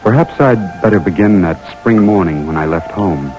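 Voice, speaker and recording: An actor with an American accent playing an earnest, weary imprisoned army lieutenant in an old-time radio drama, speaking haltingly and intimately. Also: male voice, sits low, darkish tone, dull audio, close to an everyday voice. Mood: weary, reflective. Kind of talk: 1940s radio drama acting, letter narration. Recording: vintage radio broadcast with music.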